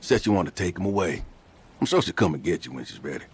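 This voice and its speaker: An actor playing a watchful, calm, friendly man with understated delivery in a video game. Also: low-pitched, fast talker, a little hiss or hum. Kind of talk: video game cutscene dialogue.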